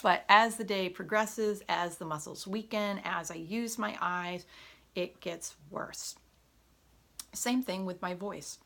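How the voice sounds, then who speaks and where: Unscripted home vlogger: woman, slightly low-pitched, hoarse at times, chatty with fillers. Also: slow pace, bright, forceful tone. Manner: chatty, frequent fillers and self-corrections. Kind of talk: unscripted personal vlog. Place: home room. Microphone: camera on a tripod.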